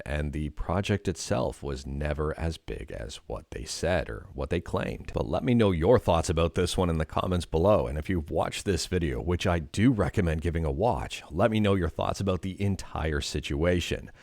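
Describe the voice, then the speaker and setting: Canadian male news host, very low voice, close dry mic, chatty with "uh" pauses. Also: vocal fry, darkish tone, no echo. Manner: conversational, hesitant fillers when reacting. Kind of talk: casual news roundup.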